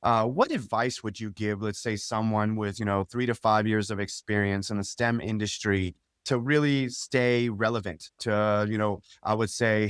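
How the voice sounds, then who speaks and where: A man's voice: lowish voice, clean tone, dry recording, studio quality. A man hosting a remote interview, easygoing and reflective, with many "you know" fillers. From United States. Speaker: podcast host.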